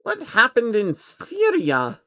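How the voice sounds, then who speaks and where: A man voicing a naive, excitable male insectoid pony creature from a fan storybook reading, curious and wide-eyed. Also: very high voice, slow, dull audio, pitched above the performer's own voice, exaggerated voice.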